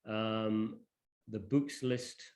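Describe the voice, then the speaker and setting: A man presenting an informal live demonstration of educational software, with a halting, hesitant delivery. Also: lowish voice, fairly even intonation.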